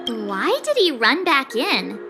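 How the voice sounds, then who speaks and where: A confident young traveler from a game cutscene. She is breezy, with a high voice and very animated intonation over clear background music. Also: slow, exaggerated voice. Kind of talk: video game cutscene acting.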